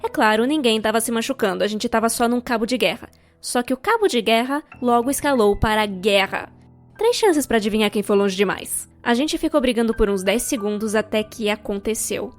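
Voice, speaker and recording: A Brazilian woman with a high-pitched voice tells a scripted storytime tale. Her delivery is expressive and theatrical with a sarcastic edge, and faint music plays underneath. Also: brisk. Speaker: narrator. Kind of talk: scripted animated storytime narration, fan dub.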